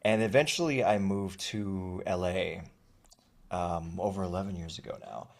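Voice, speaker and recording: A man in his forties, raised in Thailand, speaking as an actor guest in a conversational interview over a video call, reflective and halting with many fillers. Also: low voice, gravelly.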